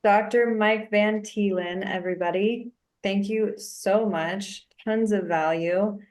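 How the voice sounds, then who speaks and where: A woman's voice: medium pitch, steady tone, slow pace, darkish tone. A woman hosting a podcast interview, asking questions in a friendly way. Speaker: podcast host.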